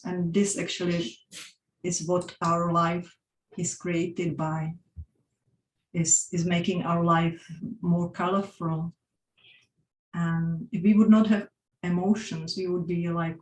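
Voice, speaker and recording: A woman's voice: on the low side, darkish tone, roomy sound, a little hiss or hum, poor audio. A woman with a Czech accent presenting a workshop talk with slides, stopping often to restart her sentences. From Czech Republic. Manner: hesitant, frequent restarts and fillers.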